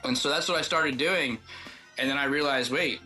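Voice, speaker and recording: Male country songwriter guest, medium register, bright forward tone, rambling conversational storyteller. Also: medium pitch, moderate pace, soft background music, poor audio. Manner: loose, rambling, informal. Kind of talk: casual interview chat telling personal stories.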